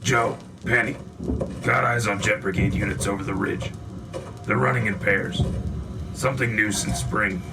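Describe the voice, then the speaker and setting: A man's voice: lowish voice, steady tone, normal speed, pauses often, loud music behind the voice, lightly acted. A man playing a wry military frontline correspondent, giving a scripted field report in terse, dry-humored phrases.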